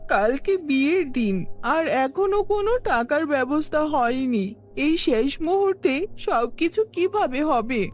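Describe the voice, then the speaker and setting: A woman voicing a poor, gentle, worried village mother in a cartoon fairy tale, earnest and pleading. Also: sits high, animated, slow pace, soft background music. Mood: anxious.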